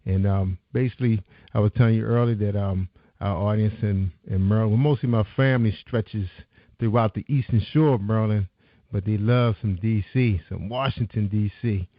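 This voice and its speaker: A man hosting a talk show in a folksy, encouraging way. His voice sits on the lower side. The sound is dry and a little dull, with no room around it. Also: moderate pace, dry recording. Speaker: radio talk show host. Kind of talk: casual interview chat.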